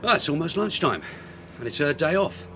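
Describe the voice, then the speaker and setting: An actor playing a shrewd, teasing veteran detective sergeant in a radio drama, with a husky voice and a breezy, bantering manner. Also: male voice, fairly high pitch, sing-song, a little fast, background noise. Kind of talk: naturalistic British radio drama acting.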